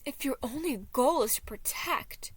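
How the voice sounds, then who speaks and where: A woman's voice: sits high, moderate pace, voice pushed up, natural voice. A woman voicing a haughty, hot-headed prince in a dramatic, indignant manner for a story reading. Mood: quiet reproach. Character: haughty, theatrical, hot-headed male prince, creative side.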